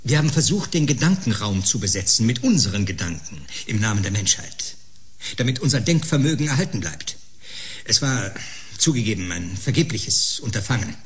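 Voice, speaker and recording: A man's voice: lowish voice, sing-song, fairly quick, a little hiss or hum. Combative, scornful male doctor. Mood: defensive, grim. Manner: sarcastic, theatrical, emphatic. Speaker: actor in a sci-fi audio drama. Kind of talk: German sci-fi radio play acting.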